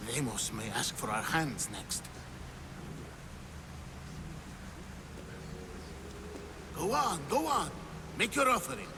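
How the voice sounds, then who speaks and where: An actor playing a sly, menacing male cultist in a video game cutscene, theatrical and conspiratorial, slipping into breathy whispers. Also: a little high, huge pitch swings, moderate pace, noisy background. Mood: goading. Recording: loud background ambience.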